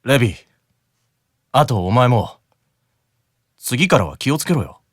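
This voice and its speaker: A wrathful, menacing demon with swinging pitch. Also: male voice, sing-song, unhurried. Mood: icy menace. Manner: threatening, icy, then explosive. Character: wrathful, menacing demon brother with explosive temper. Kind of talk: mobile game character radio drama.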